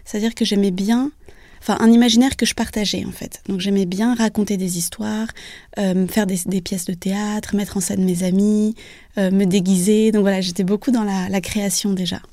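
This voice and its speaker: Soft-voiced young woman, hesitant. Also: mid-range voice, steady tone, moderate pace, dry recording, clean studio sound. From Vietnam. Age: 20s. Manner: hesitant, many fillers and restarts. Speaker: author interviewed. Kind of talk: radio interview.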